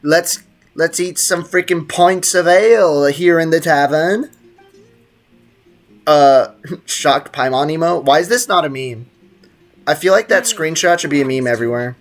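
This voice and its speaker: Young streamer, medium pitch, casual rambling chat, joking and mock-indignant, faint game music. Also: measured pace. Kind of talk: casual livestream game commentary, chatting with viewers.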